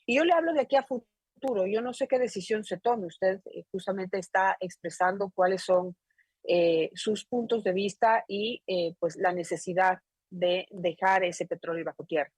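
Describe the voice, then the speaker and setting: Husky woman interviewer, dry sound. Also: moderate pace, a little mellow, no room sound. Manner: probing and emphatic, rising in pitch when pressing a point. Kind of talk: TV interview questioning.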